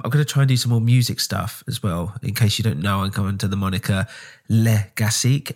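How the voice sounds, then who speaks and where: A man hosting his own podcast, talking casually with many ums and restarts, nasal from a cold. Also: fairly low pitch. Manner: rambling, frequent ums and restarts. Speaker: podcast host. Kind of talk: casual solo update.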